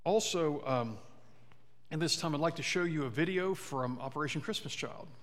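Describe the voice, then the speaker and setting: A man's voice: fairly high pitch, moderate pace. Man giving church announcements in a reverberant hall. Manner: casual, with fillers and asides to the congregation. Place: church sanctuary.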